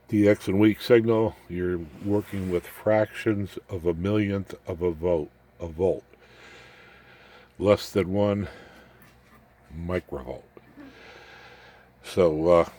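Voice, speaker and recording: A man's voice: sits low, a little mellow. A man giving a casual, off-the-cuff technical demonstration as a hobby electronics host, rambling along in a folksy way with jokey asides. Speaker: amateur radio electronics video host.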